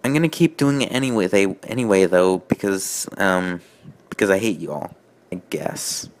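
Male comedy reader and commentator, fairly deep, exasperated, pedantic, stumbling over his own asides. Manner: sarcastic, nitpicking, halting. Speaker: host of fan fiction reading show.

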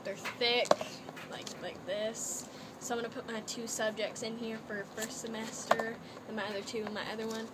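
A woman's voice: on the high side, flat delivery, moderate pace. Chatty young woman doing a fake accent. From Canada. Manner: chatty and rambling, putting on a playful fake accent. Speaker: vlogger. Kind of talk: casual haul video chat. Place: small cluttered bedroom, indoors. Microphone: laptop webcam. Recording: noisy laptop webcam audio.

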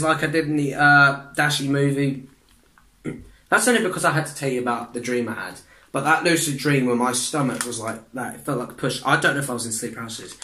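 A young man, chatting in a rambling way for a reaction vlog in his bedroom, restarting sentences, clearing his throat and swearing often. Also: mid-range voice, sharp tone. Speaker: vlogger reacting to videos. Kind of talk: casual reaction vlog chat. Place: small bedroom indoors.

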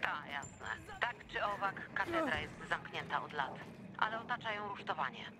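A woman's voice: medium pitch, animated, moderate pace, noisy background, close to an everyday voice. A woman playing a wry, streetwise survivor in a video game, sardonic and teasing as she gives directions.

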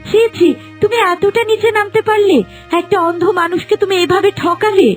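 Very high-pitched scolding wife. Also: female voice, steady tone, moderate pace, faint music behind. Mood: disgusted, scolding. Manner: scolding, questioning. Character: sharp, scolding village wife with a conscience.

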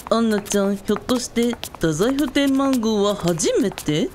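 A bubbly, eager-to-help woman, acted by an actress in a radio drama. Her voice is high and very lively, with faint ambience behind. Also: a little slow, a little hiss or hum. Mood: bubbly, helpful. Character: bubbly, helpful woman who speaks in third person.

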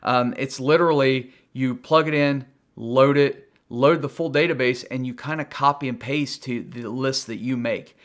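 A man's voice: medium pitch, fairly even intonation, normal speed, few pauses. Middle-aged American man, plain-spoken reviewer. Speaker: gadget reviewer and video host. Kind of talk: casual product review to camera.

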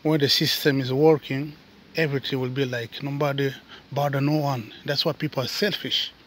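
A man, not a native English speaker, talking casually to his viewers while walking outdoors and filming with his phone, often calling them guys and dropping to soft asides. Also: medium pitch, steady tone, normal speed, slight background noise. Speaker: vlogger. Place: outdoors on a suburban footpath.

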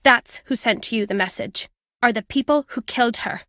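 A woman's voice: on the high side, normal speed, fairly bright tone, no echo. An actress playing a wry, guarded older woman with a British accent, a former spy in a video game, dry and fond in manner. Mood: wistful, grave. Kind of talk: video game character dialogue.

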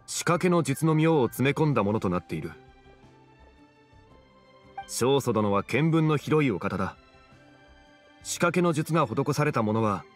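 An actor playing a calm, refined, scholarly gentleman in an anime game, speaking in a measured, formal and eloquent way. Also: medium pitch, lightly acted. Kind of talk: Japanese anime game voice acting.

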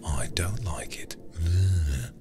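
A man streaming a game as ASMR, reading aloud and chatting softly in an intimate, soothing, breathy way, close to the microphone. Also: low voice, lively intonation, slow pace, very noisy. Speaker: livestreamer playing horror games.

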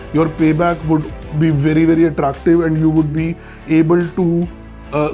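An Indian-accented man speaks in a fairly high voice over background music. He explains things step by step, with emphasis, like a consultant presenting business advice to camera. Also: darkish tone. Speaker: presenter, solar energy consultant. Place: small bright office, indoors, at a desk.

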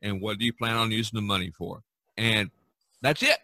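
A man hosting a casual podcast discussion, talking in a rambling, halting way with repeated phrases. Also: fairly low pitch, steady tone, bright, forceful tone, no echo. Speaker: podcast host and artist.

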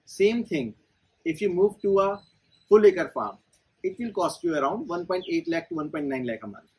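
Give me an Indian English man with a raspy, fairly high voice, teaching methodically to camera. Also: animated, normal speed. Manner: methodical, explains step by step with figures. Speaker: farming business expert. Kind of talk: explanatory talk to camera. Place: inside a greenhouse among leafy crops.

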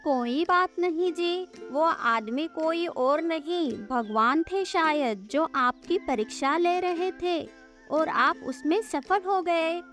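A woman voicing a farmer's caring, inquisitive wife in an animated moral story. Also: extremely high pitch, takes it slowly, full character voice. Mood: reassuring. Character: concerned, questioning village farmer's wife. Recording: background music under the voice.

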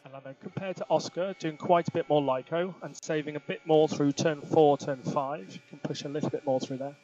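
A man's voice: a little high, fairly even intonation, brisk, dark tone, muffled recording. Male race engineer on noisy team radio. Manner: clipped, procedural callouts. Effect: team radio filter. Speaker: Formula One race engineer. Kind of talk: team radio instructions to a driver. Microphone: radio headset. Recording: noisy motorsport radio link.

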